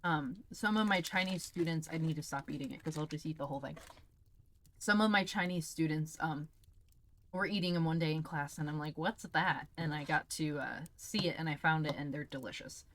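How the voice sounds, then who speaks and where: A woman's voice: deep voice, a little fast, fairly bright tone. A woman hosting a livestream, chatting casually as she tastes snacks, in a candid, exclamatory way with plenty of swearing. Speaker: livestream host. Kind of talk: casual chat while taste-testing snacks.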